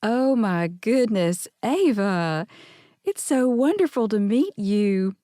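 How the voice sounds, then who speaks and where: A woman's voice: medium pitch, expressive, slow, warm tone, dry recording, studio quality. A woman voicing an effusive, chatty middle-aged mother greeting a guest in an audiobook. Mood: gushing.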